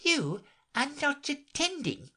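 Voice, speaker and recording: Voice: sits high, huge pitch swings, slow, sharp tone, voice pushed up, full character voice. A narrator voicing a touchy, indignant and sorrowful mouse in a storybook, with a severe, huffy manner. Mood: severe, angry.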